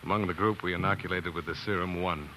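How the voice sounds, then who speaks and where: A man's voice: sits low, steady tone, fast, pushed and bright, slight background noise, poor audio. An American man acting in a 1940s radio drama as an earnest, grief-stricken doctor telling his own tragic story, on a vintage broadcast recording. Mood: earnest, weary.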